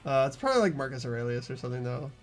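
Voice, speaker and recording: Animated host with a very lively pitch. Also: rapid-fire, over music. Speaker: host of a group game playthrough. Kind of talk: casual banter and reading game text aloud.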